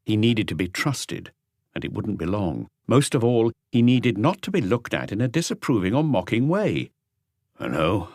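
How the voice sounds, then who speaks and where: Male audiobook narrator, scripted literary reading, deep pitch, animated melody, dry studio-like sound. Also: moderate pace, no echo.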